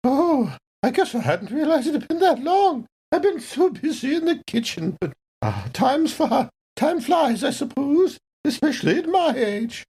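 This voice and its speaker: Woman acting a high, prim older lady. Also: big pitch swings, unhurried, dark tone, full character voice. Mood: airy, apologetic. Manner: prim, genteel, airy. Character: genteel, polite older woman who works in a kitchen.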